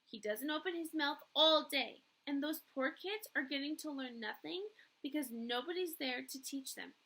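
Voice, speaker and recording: An excitable young female teacher from a storybook, acted out by a woman reader. Her voice is high and bright, and she speaks emphatically, with earnest disapproval. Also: moderate pace. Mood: indignant.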